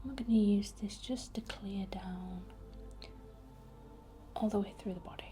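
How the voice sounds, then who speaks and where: A woman whispering breathily and gently as an ASMR host, chatting and guiding a healing ritual in an unhurried way. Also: mid-range voice, soft background music.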